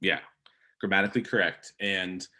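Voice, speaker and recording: Upbeat young man, lowish voice. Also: little pitch movement, moderate pace, sharp tone. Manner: upbeat, eager storyteller. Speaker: podcast co-host. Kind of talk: casual sports chat.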